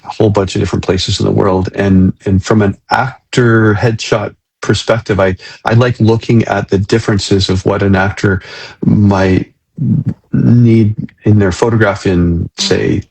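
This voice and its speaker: Male interview host, low-ish gravelly voice, husky edge, thoughtful and halting conversational delivery. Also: steady tone, a little mellow, low-quality recording. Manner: hesitant, reflective, repeats words while searching.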